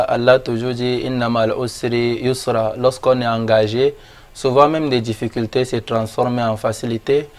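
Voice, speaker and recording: A man who counts Arabic as his first language and is from Cameroon, answering interview questions in a measured, devout way with Arabic expressions. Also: medium pitch, clean tone. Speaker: imam and author, interview guest.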